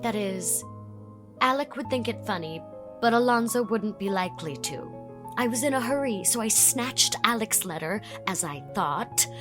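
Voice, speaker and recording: Breezy young college belle. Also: female voice, mid-range voice. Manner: breezy, theatrical, self-absorbed.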